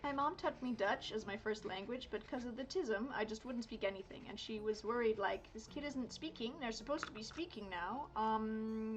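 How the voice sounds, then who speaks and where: Woman, 30s, from Miami, casual livestream chatter full of fillers, raised pitch, quiet music bed. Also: rough recording. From Miami, United States. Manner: rambling, chatty, lots of fillers and self-corrections. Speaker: art streamer. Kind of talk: casual chat while drawing.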